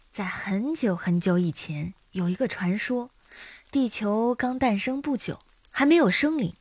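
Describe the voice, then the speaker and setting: A woman playing a gentle young mother of small children in a radio drama. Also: fairly high pitch, no echo. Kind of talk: Chinese audio drama acting.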